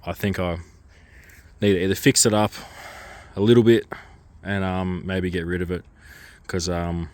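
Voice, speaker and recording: A very low-voiced man walks through his car projects in a casual vlog. He is coming down with a cold and his voice is a little worn. He talks in a loose, rambling way, full of ums and restarts. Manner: rambling, hesitant, lots of ums. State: getting a cold, losing his voice. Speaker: car vlogger.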